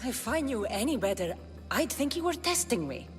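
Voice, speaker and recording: A woman's voice: a little high, sing-song, normal speed, soft background music. An actress playing a tough, wry Greek mercenary woman in a video game, speaking in a dry, guarded, blunt way. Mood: skeptical.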